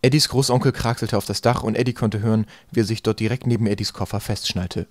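A man's voice: lowish voice, fairly even intonation, fast, no echo, clean studio sound. A man narrating a storybook as a scripted audiobook reading. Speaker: audiobook reader.

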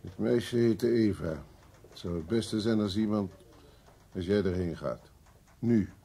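An actor in a radio drama playing a brisk police superior giving orders. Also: male voice, fairly low pitch, dark tone, slightly rough. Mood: pressing. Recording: old radio play, faint noise.

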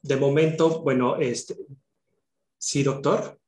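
A man's voice: mid-range voice, steady tone, takes it slowly, a little mellow, a little hiss or hum. A man in his thirties moderates an online academic session, thanking panelists and inviting questions. He hesitates often, leaning on "eh" and "este". His headset audio sounds roomy, with the room echoing around him. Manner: hesitant, many "eh" and "este" fillers. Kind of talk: conference moderation. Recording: roomy video call audio.

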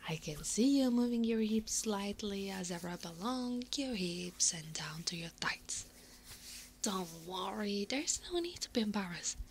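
Soft whispering centaur woman, acted by a woman, teasing. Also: mid-range voice, animated, unhurried, slight background noise. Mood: seductive, reassuring. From Italy. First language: Italian. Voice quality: breathy, often whispering. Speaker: ASMR roleplay performer. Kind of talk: ASMR roleplay.

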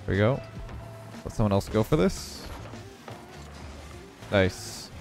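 A young man with a congested voice giving relaxed running commentary on his own video game match. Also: fairly low pitch, takes it slowly, creaky, soft background music. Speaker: gaming video creator. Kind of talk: live gameplay commentary.

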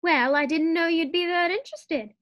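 A woman's voice: very high voice, normal speed, a bit bright, dull audio. A woman acting a scheming, cheerful, scatterbrained housewife in a 1940s-style radio sitcom. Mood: playful.

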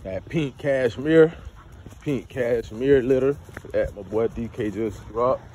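A man's voice: mid-range voice, slow, mellow. A young man casually vlogging about dog breeding while walking his dog on a neighborhood sidewalk, recorded on a handheld phone. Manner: laid-back, slangy, talks to his dog between remarks. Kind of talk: casual walk-and-talk vlog. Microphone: phone held while walking a dog. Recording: outdoor phone recording with clear background noise.

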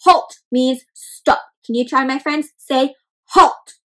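A young woman reading a picture book aloud to children, animated and acting out the characters while asking the kids questions. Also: high voice, slow pace. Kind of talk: interactive read-aloud for kids. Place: home room with decorated wall.

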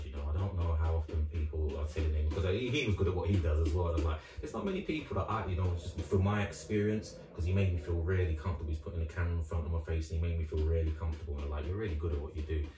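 A young man hosting an interview in a living room, chatting in a relaxed, rambling, encouraging way. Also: low voice, rapid-fire, hardly any pauses, music in the background. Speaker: host. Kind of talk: casual interview chat. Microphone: far camera. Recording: echoey room, far from mic, audible background ambience.

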